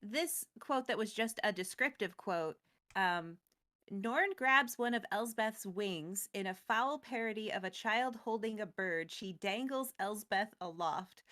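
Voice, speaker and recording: A woman co-hosting a recap show, retelling a story in a casual, jokey, slangy and expressive way. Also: medium pitch, unhurried. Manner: expressive, slangy, acts out quotes playfully.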